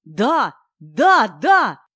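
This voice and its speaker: A narrator voicing a nervous, excitable young man in an audiobook, halting and pleading. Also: medium pitch, no echo, clean studio sound, pitched above the performer's own voice, natural voice. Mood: elated.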